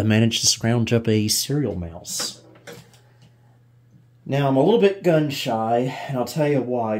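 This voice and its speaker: A man's voice: medium pitch, mellow. A man giving casual hands-on commentary as a video host, thinking aloud and often unsure. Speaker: host of a vintage computer video channel. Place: indoors in a home room.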